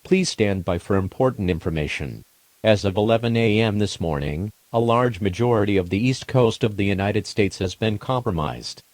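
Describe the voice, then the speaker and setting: A man voicing a formal emergency alert announcer, reading scripted warnings in a measured, impersonal, official manner. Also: fairly low pitch, darkish tone, no echo, lightly acted. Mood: grave, resigned.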